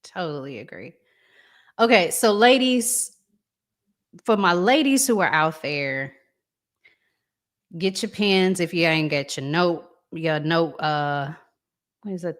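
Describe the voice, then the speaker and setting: A woman's voice: deep voice, slow, long pauses. A woman hosting a podcast, chatting casually and putting questions to her guest. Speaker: podcast host. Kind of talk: casual chat, asking questions.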